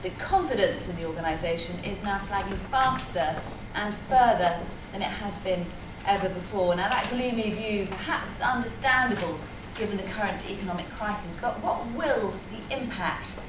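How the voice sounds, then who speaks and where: Brisk woman moderating in a hall. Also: mid-range voice. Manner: brisk, articulate presenter with light asides. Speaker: conference moderator. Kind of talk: moderating a panel conference. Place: large conference hall. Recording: echoey hall, distant mic, noisy.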